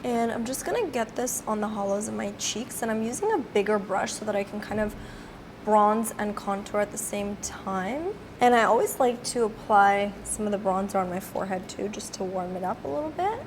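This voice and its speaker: A woman with a slightly raspy voice from a cold, chatting casually as she walks through her makeup routine step by step. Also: medium pitch, animated, moderate pace, background noise. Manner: chatty, filler-heavy, explaining each step. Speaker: beauty vlogger. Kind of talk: casual makeup tutorial narration.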